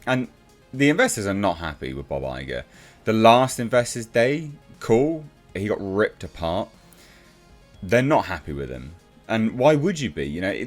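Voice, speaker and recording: Deep-voiced British man, sarcastic commentary. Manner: sarcastic, blunt, informal. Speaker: YouTube commentator. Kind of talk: casual opinionated news commentary.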